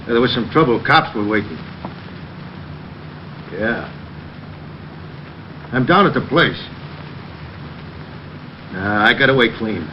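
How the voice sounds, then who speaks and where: An actor playing a subdued, apologetic crook, terse and halting, in an old-time radio crime drama. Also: male voice, mid-range voice. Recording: noisy, muffled old radio recording, distant.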